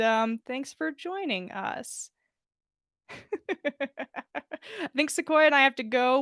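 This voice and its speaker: Casting: woman podcast host, highish and very bright, expressive reader with a casual livestream style. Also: clean tone, no room sound, clean studio sound. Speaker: podcast host reading fan fiction. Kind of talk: expressive story reading and casual livestream chat.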